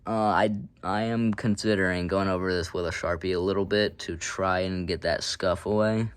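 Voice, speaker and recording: Low-voiced man, offhand and rambling. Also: steady tone, moderate pace, smooth. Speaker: hobbyist showing a radio collection. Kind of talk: casual unscripted show-and-tell.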